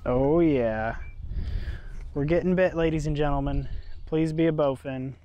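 A young man vlogging while fishing outdoors by a river, talking excitedly to viewers on an action camera. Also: fairly high pitch, a little slow, clean tone, dull audio. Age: young adult. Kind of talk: casual outdoor vlog.

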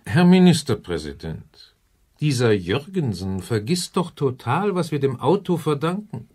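Indignant, husky political aide. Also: male voice, medium pitch, very animated, measured pace, a little mellow. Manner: indignant, complaining, emphatic. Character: indignant male political aide defending the car industry. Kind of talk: radio drama dialogue.

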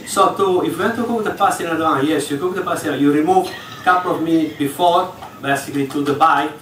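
A man with a fairly high voice walks an audience through a recipe on stage, in patient, slightly broken English. The sound is caught from far away in a large reverberant room, with faint background noise. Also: fast, a bit bright. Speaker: executive chef. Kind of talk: live cooking demonstration. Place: large hall on a ship, in front of an audience. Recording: echoey hall from far off.

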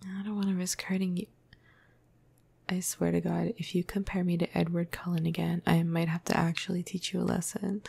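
Flirty, gentle female vampire for ASMR roleplay woman performer: breathy half-whisper, dark mellow tone, very even pitch, playful casual delivery. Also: a little fast, full character voice. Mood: flirty, fond. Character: flirty, cuddly, teasing female vampire crush. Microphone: close microphone.

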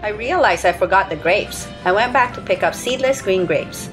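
A woman in her thirties or forties narrates a scripted grocery haul in a steady, matter-of-fact voice of middle pitch. Her close-mic voice-over runs over faint background music. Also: moderate pace, low-quality recording. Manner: even, matter-of-fact listing of purchases. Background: soft background music.

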